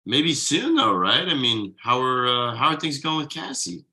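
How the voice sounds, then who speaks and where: A man's voice: mid-range voice, animated, moderate pace, pushed and bright. A man playing an exhausted, easygoing thirty-something slacker dad in a casual, hesitant comedy table read. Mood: friendly, concerned.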